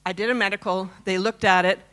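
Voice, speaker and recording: A woman's voice: mid-range voice, steady tone, quick pace, a bit bright, rough recording. A woman in her fifties from Canada, telling a personal story at a conference and often asking the audience questions. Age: 50s. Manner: chatty, asks the audience questions. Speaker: conference speaker. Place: conference stage.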